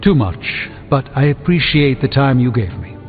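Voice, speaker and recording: Weary male wizard mentor, played by a male actor, measured and thoughtful, game dialogue with long reverb over music. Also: medium pitch, animated, natural voice. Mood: reflective, hopeful. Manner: measured, eloquent, reflective. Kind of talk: video game character dialogue. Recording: muffled phone-like band, long reverb, music behind.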